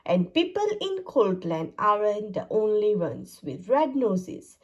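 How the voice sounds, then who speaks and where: A woman's voice: medium pitch, big pitch swings, takes it slowly, muffled recording. A woman narrating a children's picture book aloud in a sing-song, exaggerated storytelling manner. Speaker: storybook reader.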